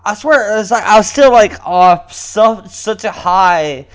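High-voiced guy co-hosting a podcast, loose rambly talk, cusses a lot, muffled sound. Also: animated, unhurried, clear, resonant tone. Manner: rambling, laughs often, swears freely. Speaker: podcast co-host. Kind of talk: casual podcast banter.

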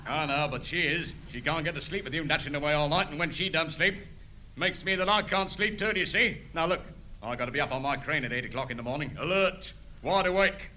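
An actor playing a blunt, threatening crane-operator husband with a working-class English accent, in broadcast sitcom style. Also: male voice, a little high, fast, pushed and bright, a little hiss or hum. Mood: aggrieved. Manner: blunt, menacing.

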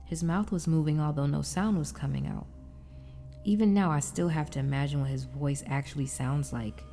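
A woman's voice: sits low, steady tone, moderate pace, dark tone, faint music behind. A woman playing an anguished but determined wife and mother in an audio drama, narrating in a confiding, reflective way. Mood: wistful. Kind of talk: first-person dramatic narration.

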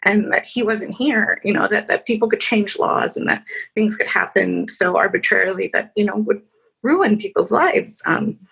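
A woman from Chicago, a lawyer on a panel, telling her career story haltingly with many ums and restarts. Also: medium pitch, creaky, a bit bright, breathy edge, rough recording. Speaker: attorney on a panel. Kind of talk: panel discussion answer.

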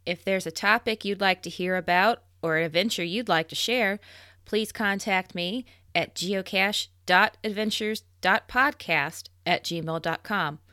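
Bright-voiced American woman podcast host. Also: mid-range voice, unhurried, no room sound, studio quality. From United States. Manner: friendly, laughs often. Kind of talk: casual podcast chat.

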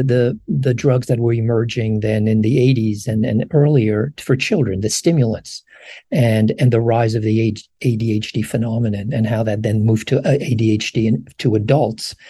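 A man's voice: medium pitch, fairly even intonation, moderate pace, warm tone, breathy edge, dry recording. A man in his sixties from Morocco, a professor answering interview questions thoughtfully, with frequent ums and restarts. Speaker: professor, podcast guest. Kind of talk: long-form interview answers.

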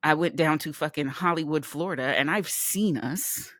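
Podcast co-host, middle-aged woman from California, lowish voice, dry close sound, laughs and swears a lot. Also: moderate pace, no echo. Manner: laughs often, swears casually. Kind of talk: casual chat.

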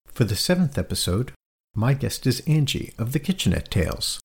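A man hosting a food podcast, introducing his guest and asking earnest, slightly halting questions. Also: mid-range voice, big pitch swings, creaky, husky. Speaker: podcast host. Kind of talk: podcast interview.

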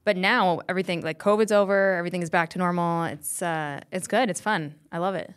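A woman's voice: lowish voice, a little fast. A young woman from Colorado, a comedian guest, chatting on a podcast and telling stories with lots of fillers. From Colorado, USA. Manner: chatty, animated storytelling with many fillers. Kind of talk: casual podcast chat.

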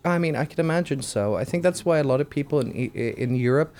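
A man's voice: fairly high pitch, lively intonation, brisk, a little mellow. A man hosting a casual chat, joking and rambling with frequent restarts. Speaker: host. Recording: faint background noise.

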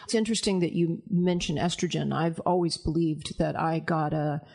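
A woman with a deep voice, talking frankly and confidently as a guest on a panel conversation. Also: steady tone, normal speed, creaky, darkish tone. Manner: frank, emphatic and self-assured. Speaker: anonymous guest.

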